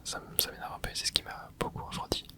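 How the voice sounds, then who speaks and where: Rambling whisperer chatting over a video game. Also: fast talker. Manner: rambling, hesitant, many fillers and restarts; soft unvoiced whisper throughout. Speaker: ASMR gaming creator. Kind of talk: whispered ASMR gameplay commentary.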